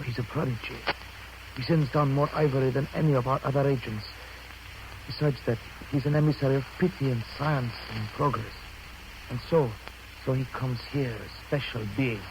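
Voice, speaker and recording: Male actor as resentful assistant manager, knowing and pointed, mid-pitched, 1930s radio drama with clear noise. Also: close to an everyday voice. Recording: noisy old 1930s radio broadcast recording.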